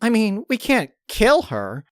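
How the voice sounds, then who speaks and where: An uneasy, plain-spoken female pony played by a man in a grim storybook tale. The pitch sits above his normal speech, and the delivery is blunt and questioning. The recording is dry and close. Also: wildly swooping pitch, slow pace, smooth, no echo, exaggerated voice.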